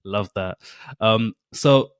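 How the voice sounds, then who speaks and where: A man hosting an interview, asking questions encouragingly and building them up slowly. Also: lowish voice, clear, resonant tone, no room sound. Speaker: podcast host. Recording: dry close sound.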